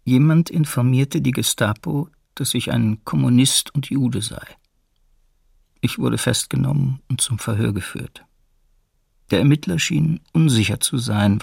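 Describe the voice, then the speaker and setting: An actor playing a wary male prisoner giving measured testimony about his interrogation in a radio drama. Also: medium pitch, gravelly, slightly rough, dry recording, clean studio sound, heavily acted.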